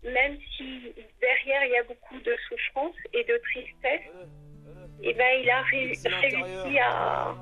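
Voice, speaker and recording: High-voiced woman on phone-like sound. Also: level tone, slow, bright, forceful tone, husky, faint music behind. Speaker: early reader giving a testimonial. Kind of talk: personal interview testimony. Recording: narrow, phone-like muffled sound.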